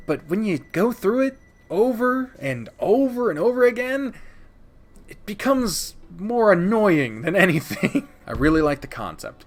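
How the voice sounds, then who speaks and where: A man hosting a casual countdown review of video games, rambling and informal, swearing freely and speaking with emphatic opinions. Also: mid-range voice. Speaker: video game reviewer and channel host. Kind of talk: casual countdown review commentary, partly scripted.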